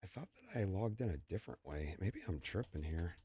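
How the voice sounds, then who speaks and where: A young man chatting casually on a live stream, rambling and stammering through fillers while his voice is going and he keeps clearing his throat. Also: deep voice, wildly swooping pitch, fairly quick, mellow, no room sound, clean studio sound.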